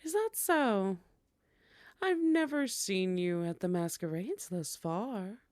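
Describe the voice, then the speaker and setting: A woman's voice: medium pitch, lively intonation, takes it slowly, a little mellow, no echo, studio quality. A woman playing a teasing, courtly vampire hostess in a scripted ASMR roleplay, speaking in a coy, formal way.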